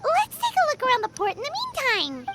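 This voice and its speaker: A woman voicing a small, excitable fairy-like girl companion in an anime video game, with a squeaky, childlike voice and an exclamatory way of talking. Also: fast talker, noisy background, heavily acted. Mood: chatty. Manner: refers to herself in the third person, exclamatory. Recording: game music behind the voice.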